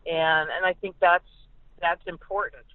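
A woman speaking as a sportswriter guest over a phone line, analysing football casually and haltingly, often repeating words. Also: lowish voice, steady tone, dry recording. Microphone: phone call. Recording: narrow, tinny phone line.

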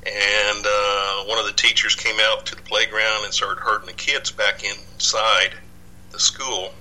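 Guest: older man, low voice, piercing bright tone, rambling casual delivery. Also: little pitch movement, a little slow, poor audio. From Dallas, Texas. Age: 60s. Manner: rambling, many fillers and restarts. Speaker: podcast guest, researcher. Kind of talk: casual interview.

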